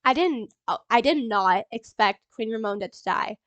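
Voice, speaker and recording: A young woman with a stuffy nose from a cold, rambling excitedly in a casual movie reaction vlog, full of ums and little laughs. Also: on the high side, normal speed, dry recording, dull audio. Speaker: YouTuber.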